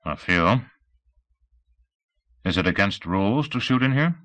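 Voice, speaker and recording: A male narrator voicing a cautious, dryly amused rich man who asks measured questions in an audiobook. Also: on the low side, expressive, fairly bright tone, no echo. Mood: wary. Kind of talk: audiobook character voice.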